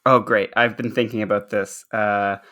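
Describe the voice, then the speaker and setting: A man co-hosting a podcast, talking casually and haltingly with plenty of asides. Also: lowish voice, level tone, sharp tone. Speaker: podcast co-host. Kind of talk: casual chat.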